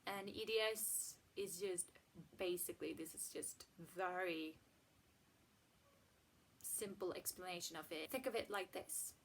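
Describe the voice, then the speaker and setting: A Swedish woman in her twenties, a native Swedish speaker, chatting casually to camera in her home hallway, with soft, half-whispered asides. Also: fairly low pitch, a little slow, a little hiss or hum. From Sweden. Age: 20s. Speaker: vlogger. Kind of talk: casual vlog talk to camera.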